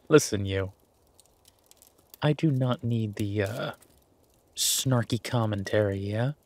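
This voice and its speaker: Man acting as a husky, tender werewolf boyfriend. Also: fairly low pitch, no echo. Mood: playfully teasing. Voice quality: husky, breathy. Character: affectionate easygoing male werewolf boyfriend, sappy romantic. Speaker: audio roleplay performer. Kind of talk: intimate boyfriend roleplay audio, casual one-sided chat.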